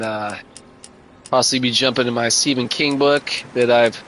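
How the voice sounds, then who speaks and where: A middle-aged man, tired and losing his voice, chatting casually and rambling while he races, saying "man" a lot. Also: mid-range voice. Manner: laid-back, rambling, says "man" a lot. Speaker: sim racing streamer. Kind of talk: casual chat while racing. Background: loud music.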